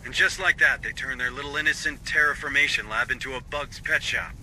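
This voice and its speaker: A man's voice: mid-range voice, a little fast, pushed and bright, a little hiss or hum, rough recording. An actor playing a dry-humored marine sergeant who barks orders at his squad with sarcastic asides, in video game dialogue. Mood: wry. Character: wry gruff male marine sergeant, middle-aged.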